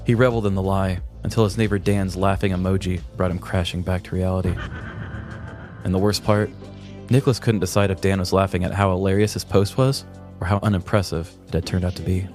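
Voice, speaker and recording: Low-voiced man, mock-grand storyteller over music. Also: little pitch movement, fairly quick, darkish tone. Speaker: podcast co-host. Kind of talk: dramatic scripted comedic storytelling.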